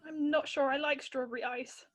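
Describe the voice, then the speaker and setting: A woman's voice: sits high, fairly even intonation, moderate pace, lightly acted. A woman playing a prim, haughty, reluctant young girl in a musical play read-through.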